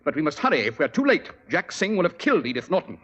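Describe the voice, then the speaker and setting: An actor playing a shrewd, commanding male detective in 1940s radio drama style, with a crisp, authoritative delivery. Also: on the high side, sing-song, quick pace, highs cut off. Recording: old radio broadcast with faint hiss.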